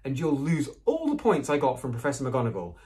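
A man's voice: mid-range voice, huge pitch swings, normal speed, a little mellow, voice pushed up. A man voicing a bossy, indignant young schoolgirl with a snappish, nagging manner in a storybook reading.

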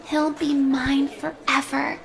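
Lovesick female cartoon chicken: high, unhurried, dreamy with a sinister streak. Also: level tone, gravelly, exaggerated voice. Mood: sly, possessive. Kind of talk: animated game cutscene acting.

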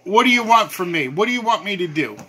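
Loud, bright-voiced man stringing along a scammer. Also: sits high, lively intonation, fast, slight background noise, low-quality recording. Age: 40s. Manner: playing dumb, loud and earnest on the phone. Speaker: prank caller baiting a phone scammer. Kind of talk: deadpan prank phone call. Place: small cluttered room at home, indoors. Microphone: camera on a table, phone held to ear.

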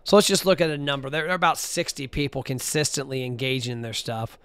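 A man's voice: mid-range voice, fairly even intonation, quick pace, fairly bright tone, smooth, no room sound. A man hosting a solo podcast, talking bluntly and casually, sometimes counting numbers aloud as he scrolls. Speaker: podcast host.

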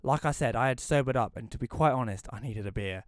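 A man's voice: medium pitch, quick pace, no room sound, close to an everyday voice. A man playing a reclusive, wry widower, narrating an audio play in the first person in a dry, reflective way. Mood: bemused, wry.

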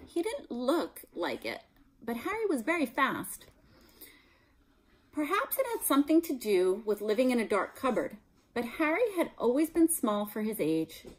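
A woman's voice: on the high side, breathy edge, poor audio. A middle-aged American woman, a teacher reading a children's story aloud expressively. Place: small room at home, indoors.

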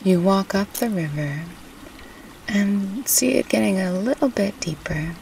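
A woman narrating a guided meditation in a soothing, unhurried, gently instructive way. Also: mid-range voice, creaky. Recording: distant mic with faint background noise.

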